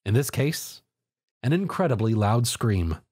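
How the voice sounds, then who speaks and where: A man reading an action story aloud as a scripted narration in an animated way. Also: medium pitch, unhurried, husky.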